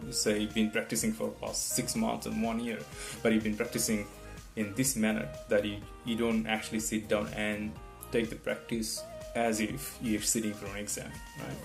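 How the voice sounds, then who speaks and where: A man's voice: on the low side, completely flat delivery, moderate pace, gravelly, few pauses. A man whose first language is not English gives rambling test-prep advice, restarting often and checking in with "right?". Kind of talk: casual advice talk to camera. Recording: guitar music in the background.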